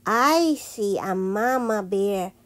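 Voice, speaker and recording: A woman reading a children's picture book aloud in a singsong, deliberate way, like a teacher with young listeners. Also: mid-range voice, expressive. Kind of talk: children's read-aloud storytelling.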